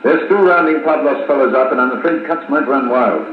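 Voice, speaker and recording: A man acting a formal, deliberate, middle-aged colonel narrating grim events in a 1950s radio serial. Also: mid-range voice, phone-quality sound, close to an everyday voice. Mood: brisk, commanding. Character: measured, authoritative British intelligence colonel recounting grim events. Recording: scratchy, echoing 1950s wire recording.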